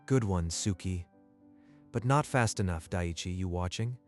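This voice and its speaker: An actor playing a cheerful, carefree teenage ninja trainee in an anime-style manga dub. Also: male voice, low-pitched, a little slow, a little mellow, soft background music. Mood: upbeat.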